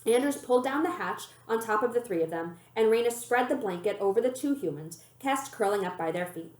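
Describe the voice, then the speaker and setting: Animated woman reading aloud, echoey room. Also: a little high, fairly quick. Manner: animated storytelling. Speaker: librarian reading a book aloud. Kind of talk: expressive read-aloud narration of a children's novel. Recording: roomy, echoey room sound.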